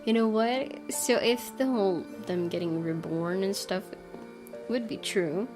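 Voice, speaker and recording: A woman doing a let's play, with a mid-pitched voice and an unhurried pace. She moves between reading story text and thinking out loud casually, with faint game music behind her. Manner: musing, hesitant asides. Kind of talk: reading a visual novel aloud with casual commentary.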